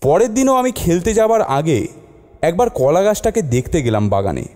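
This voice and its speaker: A man voicing a curious young nephew who narrates a cartoon adventure with expressive wonder. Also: high voice, normal speed. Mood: wondering narration. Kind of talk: animated cartoon storytelling.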